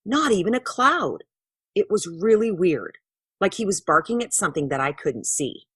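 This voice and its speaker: A woman voicing a young boy earnestly telling a story to friends in a children's storybook, with exaggerated, animated delivery. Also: fairly low pitch, normal speed, slightly rough, no echo, studio quality, heavily acted. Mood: earnest, puzzled.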